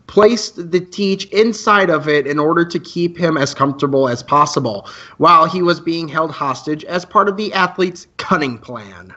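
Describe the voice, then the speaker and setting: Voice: mid-range voice, pushed and bright, highs cut off. An actor playing a wisecracking, teasing teenage student radio co-host in an amateur radio play, with playful mock-formality. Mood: wry announcing.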